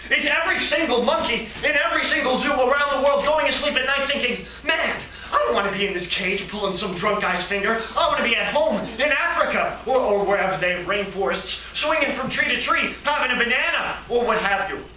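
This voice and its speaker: Naive daydreaming hotel receptionist for a comic stage monologue, male performer: high-pitched, very sharp voice, halting and rambling. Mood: worked up. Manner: halting, rambling, hesitant then excitable. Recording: roomy, distant mic, faint noise.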